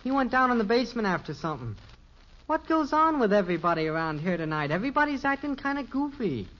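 A man's voice: high-pitched, very animated, quick pace. A male actor playing a casual, wisecracking teenage son in a 1950s radio sitcom, slangy and offhand. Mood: offhand, puzzled. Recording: dull, noisy 1950s radio recording.

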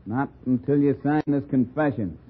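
Hard-boiled sneering sergeant played by a male actor, brusque, sarcastic, mid-pitched, vintage radio drama, muffled recording. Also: normal speed, husky, natural voice. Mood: needling. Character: hard, sneering police sergeant bullying a suspect. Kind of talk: 1950s radio drama acting. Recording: muffled, faintly noisy old radio recording.

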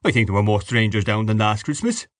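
A man's voice: fairly low pitch, lively intonation, quick pace, no echo, lightly acted. A man voicing a coarse, sarcastic, blustery father in an audiobook novel. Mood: jovial, forced. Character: coarse, bitter, mocking Irish father at dinner.

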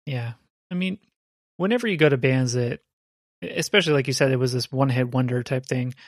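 Male podcast co-host, mid-pitched, chatty explainer, dry close sound. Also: fairly quick, no echo. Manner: chatty, explaining with rhetorical "right?". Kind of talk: casual chat.

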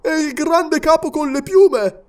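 A man's voice: very high voice, unhurried, darkish tone, voice pushed up. An Italian man narrating an audiobook and voicing a deformed giant servant, loyal and bloodthirsty, who stammers frantically. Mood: anxious.

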